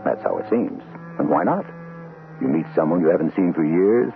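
A man hosting an old-time radio mystery, narrating in a theatrical, portentous, gravelly voice on a narrow vintage recording. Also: low voice, big pitch swings, moderate pace, over music. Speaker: host and narrator.

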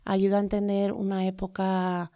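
A woman's voice: medium pitch, monotone, moderate pace, warm tone, clean tone, dry recording, clean studio sound. Hesitant, thoughtful Spanish woman guest. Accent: Spain Spanish. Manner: hesitant, many fillers and tag questions. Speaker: interview guest, lawyer. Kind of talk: reflective conversational interview.

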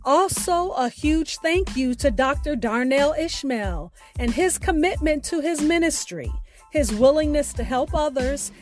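Female host, high-pitched and unhurried, delivering scripted acknowledgments over a light music bed. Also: lively intonation. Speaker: podcast host. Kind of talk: scripted narration, thanking contributors.

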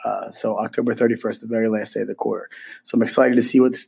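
A man who teaches middle school social studies gives families his weekly podcast update. He is hoarse from a cold and chats casually, with frequent ums and restarts. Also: on the low side, fairly even intonation, quick pace, darkish tone.

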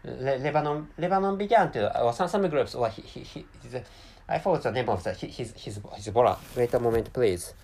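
A man speaking English as a second language, talking alone in a halting way, with many restarts and self-corrections. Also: mid-range voice, expressive, vocal fry, darkish tone. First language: not English. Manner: apologetic about his English. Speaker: commentator. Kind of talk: unscripted solo monologue.

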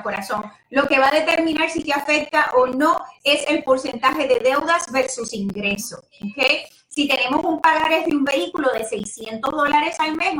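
A woman's voice: sits high, fairly bright tone. A Puerto Rican woman giving casual advice as a host, chatty and familiar, with affectionate diminutives and the odd English word. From Puerto Rico. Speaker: host and home loan advisor. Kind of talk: casual advice talk to a live audience online. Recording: roomy echo, distant microphone.

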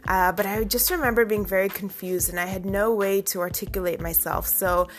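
A woman's voice: medium pitch, a bit bright. A young woman from Canada telling a personal story in a chatty way, full of asides. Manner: chatty, many fillers and asides. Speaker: vlogger.

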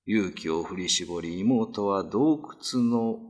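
Slow, dramatic low-voiced male narrator. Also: slightly rough. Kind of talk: dramatic scripted horror storytelling. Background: background music.

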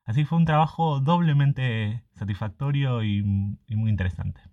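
A man's voice: mid-range voice, moderate pace, narrow, tinny audio. A man giving a prepared spoken presentation as a guest literary translator. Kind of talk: prepared monologue presenting a book.

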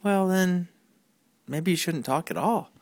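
A father narrating a playful family skit, jumping into high, exaggerated questions as he plays along. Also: measured pace, a little mellow, no echo. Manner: jumps into high, exaggerated questions when voicing his lines. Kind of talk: playful home-video narration.

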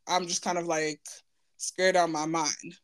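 A woman's voice: on the low side, flat delivery, moderate pace. A woman speaking informally and candidly in a personal conversation, often checking in for agreement as she goes.

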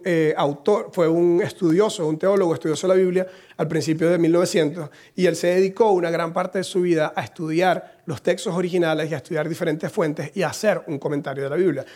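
A slightly hoarse man preaching a conversational sermon in a church, with humorous, self-deprecating asides. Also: fairly high pitch, darkish tone. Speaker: pastor. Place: church in Costa Rica.

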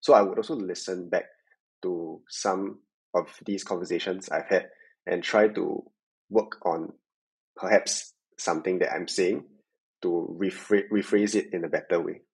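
A man's voice: low voice, fairly even intonation, measured pace, creaky, darkish tone, breathy edge, low-quality recording. A young Singaporean man speaking reflectively as a guest in a podcast interview. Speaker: podcast guest, tech sales worker.

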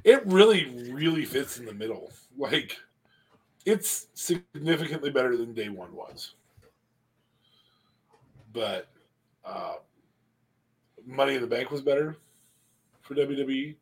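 Male podcast co-host, husky tone, dry joking delivery. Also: medium pitch, lively intonation, slow, long pauses, rough recording. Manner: joking, swearing, dry asides. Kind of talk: casual podcast chat.

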